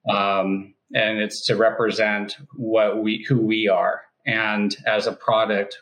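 A man, a company co-founder, explaining his business in a calm, measured way during an interview. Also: on the low side, poor audio. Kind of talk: casual interview talk.

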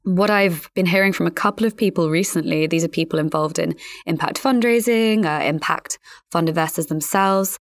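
Woman podcast interviewer, lowish voice, dry sound, polite n chatty, rewords stuff as she goes. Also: normal speed, no room sound.

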